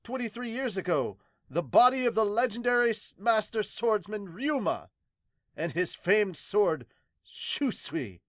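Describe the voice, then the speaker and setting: A woman's voice: mid-range voice, very animated, unhurried, no echo, clean studio sound, voice pushed up. A woman voicing a haughty male magistrate with stern, pompous, archaic speech in a manga dub. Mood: stern, scornful.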